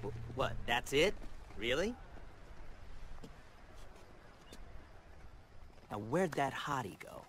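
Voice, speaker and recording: An actor playing a cocky young American movie star in a game, flippant and swaggering. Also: male voice, medium pitch, sing-song, moderate pace, a bit bright, slight background noise. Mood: flippant, surprised. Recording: music behind the voice.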